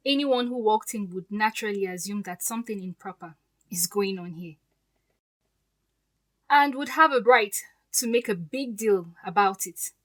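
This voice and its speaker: A woman's voice: mid-range voice, a bit bright, close to an everyday voice. Woman playing a poised, cutting fiancée. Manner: pointed, sarcastic politeness. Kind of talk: audio drama acting.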